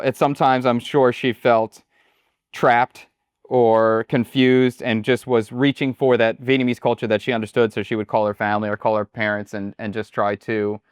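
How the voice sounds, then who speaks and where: American guy talking about his life abroad, smooth clear voice, dry close sound, kinda halting. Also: mid-range voice, no room sound. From United States. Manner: relaxed, halting, repeats words. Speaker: chef and guest. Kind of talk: casual interview chat.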